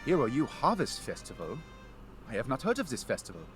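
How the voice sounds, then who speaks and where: A man's voice: mid-range voice, wildly swooping pitch, a little fast. An actor voicing a friendly, well-dressed male centaur student who speaks formally and politely in a game. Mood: polite, curious. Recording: game audio with music behind.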